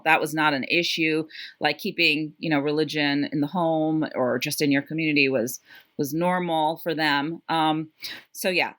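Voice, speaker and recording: A woman in her fifties who grew up around New York, a podcast guest telling lively stories with laughs and plenty of "like" and "you know". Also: low voice. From Greater New York area. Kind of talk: casual storytelling chat.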